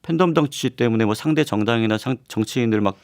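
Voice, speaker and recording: A man speaking as a guest professor on a radio current-affairs program. He explains his points in a measured, analytical way and now and then pauses on fillers. The studio sound is close and dry. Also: medium pitch, darkish tone, no echo. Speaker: political science professor guest. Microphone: studio microphone.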